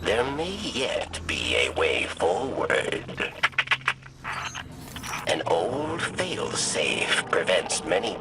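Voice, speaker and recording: Slow, deep alien warden. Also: male voice, creaky, heavy background noise, exaggerated voice. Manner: halting, clipped phrases with odd pauses. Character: wary alien insectoid prison warden, speaking of himself in third person. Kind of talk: video game character acting.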